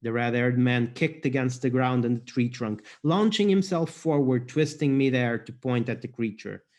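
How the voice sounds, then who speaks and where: A man's voice: mid-range voice, normal speed, darkish tone. A man from Portugal, an author introducing and reading his own fantasy fiction aloud.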